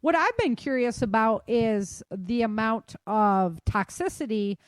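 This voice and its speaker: A woman's voice: fairly high pitch, animated, a little slow, smooth, no room sound. An American woman hosting a podcast in an informal, chatty, self-deprecating way, talking through the news unscripted. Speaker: podcast host. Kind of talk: casual unscripted chat about news.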